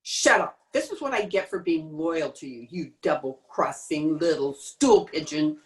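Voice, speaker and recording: A lowish, very animated voice for a hard-boiled dame in an old-time radio crime show played by a woman. She is a sharp-tongued crook, curt and defiant. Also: unhurried. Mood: bitter, defiant. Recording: home recording over video call.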